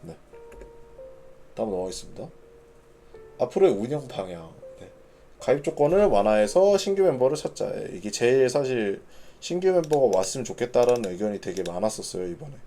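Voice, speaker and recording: A man with a soft, mellow voice and a very lively, swinging intonation leads an informal gaming clan announcement. He talks conversationally, thinking aloud as he explains his notes, with faint music behind him. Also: mid-range voice, a little fast. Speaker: gaming clan leader hosting announcement broadcast. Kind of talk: informal live announcement, reading notes and commenting.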